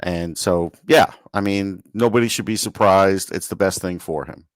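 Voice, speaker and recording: A man talks casually as co-host of a sports podcast. His pitch is on the low side, and the recording is dry with little room sound. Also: moderate pace, no room sound.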